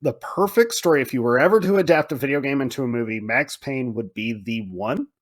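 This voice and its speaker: A middle-aged man hosting a podcast, chatting casually and emphatically about films and games, with animated delivery and free swearing. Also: mid-range voice, a little fast. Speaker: podcast host. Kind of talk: casual film and game chat.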